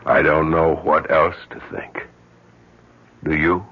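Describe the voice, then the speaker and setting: An actor playing a sombre, self-reproachful middle-aged doctor who talks haltingly in an old-time radio drama. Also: male voice, rumbling low, flat delivery, breathy edge, heavily acted. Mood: haunted, confessional. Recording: muffled old radio recording.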